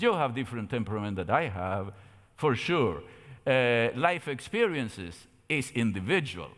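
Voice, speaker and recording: A man's voice: medium pitch, fairly bright tone. An older man from Argentina with an Argentine Spanish accent, giving a didactic yet conversational theology lecture with rhetorical questions. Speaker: theology lecturer at a church camp meeting. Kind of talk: lecture with slides. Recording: echoey hall with long reverb.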